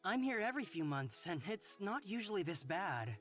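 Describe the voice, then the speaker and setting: A woman voicing a bold, curious and flirty traveller in a fantasy visual novel, expressive and teasing. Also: mid-range voice, moderate pace, faint music behind, lightly acted. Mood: brisk, insistent.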